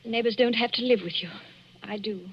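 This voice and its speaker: An actress playing a tense, defensive young wife who hides her unease, with a husky voice and clipped, guarded replies in a 1940s radio drama. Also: fairly high pitch, a little fast. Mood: irritated, defensive. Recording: dull, muffled old radio recording.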